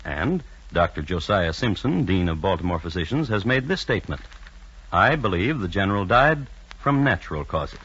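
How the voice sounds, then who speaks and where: Droll, pompous crime narrator, low voice with wide swings, deadpan, dull vintage radio recording. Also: male voice, normal speed, husky, heavily acted. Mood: droll, solemn. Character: dry, droll, formal male crime-history narrator. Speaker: host.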